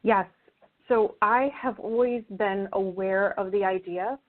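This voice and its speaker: A woman with a mid-pitched voice speaks as a guest in an interview. She is earnest and conversational, rewording her thoughts as she goes. Her audio is thin and narrow, like a phone call. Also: steady tone, measured pace.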